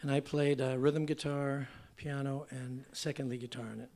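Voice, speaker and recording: An older man, slightly hoarse, introducing his song in casual, rambling stage talk with many fillers. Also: mid-range voice, level tone, normal speed, darkish tone, slight background noise. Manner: rambling, filler-heavy, chatty. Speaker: singer-songwriter. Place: theater stage at a museum event.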